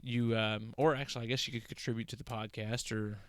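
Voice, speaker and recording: A man's voice: mid-range voice, little pitch movement, brisk, a bit bright, clean tone, no echo. A man hosting a podcast with casual, joking and teasing banter. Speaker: podcast host.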